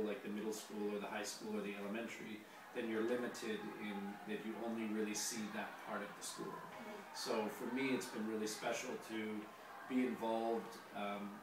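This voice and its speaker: A hoarse man, a teacher being interviewed, answering casually with many pauses and the odd dry joke. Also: lowish voice. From Canada. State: hoarse, losing his voice. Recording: very echoey room, distant mic, noisy.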